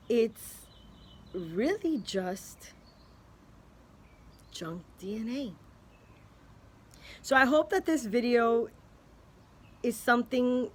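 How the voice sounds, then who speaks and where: Slow, musing woman vlogger. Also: medium pitch. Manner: unhurried, thinking aloud with many pauses and restarts; earnest, speculative. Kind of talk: casual spoken musing to camera.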